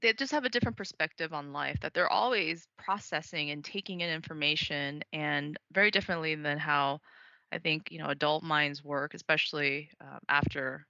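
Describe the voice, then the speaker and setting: A woman's voice: deep voice, moderate pace, creaky, pushed and bright, dry recording, highs cut off. A woman from Taiwan, an author and writing program organizer, talking enthusiastically in a casual interview with lots of fillers. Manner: chatty, enthusiastic, frequent fillers and laughs.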